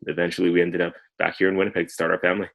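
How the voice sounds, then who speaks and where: A Canadian man in his thirties, a guest telling his story in a relaxed way, with many ums. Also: sits low, rapid-fire, vocal fry, lo-fi sound. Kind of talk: casual interview.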